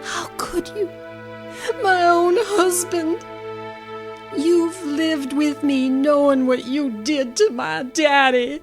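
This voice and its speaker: High-voiced frightened, pleading wife. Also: female voice, fairly even intonation, takes it slowly, music in the background. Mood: anguished accusing. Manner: pleading, tremulous, melodramatic. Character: frightened, betrayed, fragile wife pleading for life. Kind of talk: audio drama acting.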